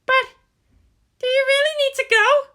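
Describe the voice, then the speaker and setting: Guy doing a hot-tempered teen girl, way high pitched, sharp and indignant, story reading. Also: fairly even intonation, unhurried, heavily acted. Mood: challenging, hurt. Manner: indignant, challenging. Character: fiery, scowling teenage girl, hot-tempered and hurt.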